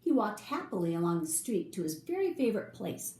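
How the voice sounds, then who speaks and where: A woman reading a children's picture book aloud, with expressive, drawn-out emphasis for young listeners. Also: mid-range voice. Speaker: storybook reader. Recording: roomy echo, faint background noise.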